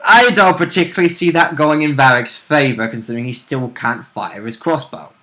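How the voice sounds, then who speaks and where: A man's voice: mid-range voice, brisk, sharp tone. A man co-commentating on a tabletop role-play vidcast, chiming in eagerly with casual banter about rules and fighters.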